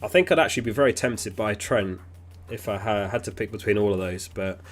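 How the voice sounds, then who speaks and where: A man hosting a casual livestream, reading viewer messages aloud in a relaxed, chatty way. He is sniffly and keeps clearing his throat. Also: on the low side, fairly quick, faint music behind. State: sniffly, getting over an illness. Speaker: fantasy football livestream host.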